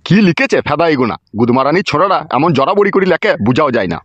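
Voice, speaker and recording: Casting: male actor as exasperated, foul-mouthed village father, highish voice, rapid delivery, cartoon comedy. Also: expressive, muffled recording, a little hiss or hum, close to an everyday voice. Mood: grumbling, reading aloud. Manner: coarse, scolding, exasperated. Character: irritable, foul-mouthed village father, middle-aged and suspicious.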